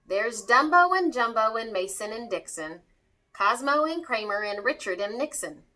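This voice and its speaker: Woman playing a blustering old substitute Santa, high-pitched and lively. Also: moderate pace. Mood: blustering bluff. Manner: blustering, wisecracking. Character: grumbling bluffing old man reluctantly playing substitute Santa.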